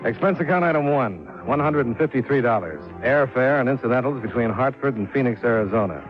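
Man playing hard-nosed freelance investigator, matter-of-fact, mid-pitched, old radio drama acting, muffled vintage recording. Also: lively intonation, fairly quick, close to an everyday voice. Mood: brisk, businesslike. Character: cool, businesslike male freelance insurance investigator. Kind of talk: 1950s radio drama acting with first-person narration. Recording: dull 1950s radio recording, music behind.

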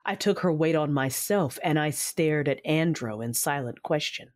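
Low-voiced woman narrating softly. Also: normal speed. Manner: soft, hushed delivery. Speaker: writer, director and narrator. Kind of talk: first-person scripted narration.

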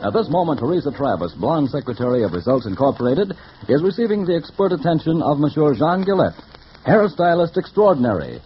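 Over clear music, a wry radio narrator with a fairly high voice. The delivery is theatrical and measured, on a muffled 1940s recording. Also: male voice, very animated.

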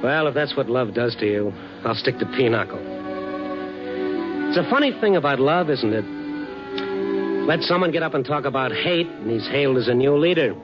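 An American man playing a world-weary, soft-hearted newspaper reporter in 1950s radio drama, wry, hard-boiled and reflective. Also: medium pitch, lively intonation, normal speed, long pauses, natural voice. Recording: dull vintage radio recording with prominent music.

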